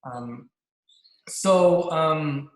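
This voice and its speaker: A man's voice: fairly high pitch, drawn-out words. A man from Mexico with a Mexican accent and Spanish as his first language, a corporate attorney explaining his work earnestly on a panel over a video call, with frequent restarts. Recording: echoey room.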